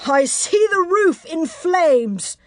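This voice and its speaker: A woman voicing a savage, defiant medieval villain lord in an audiobook, shouting and taunting. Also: extremely high pitch, very animated, takes it slowly, dry recording, clean studio sound, voice pushed up, heavily acted. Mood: gloating shout.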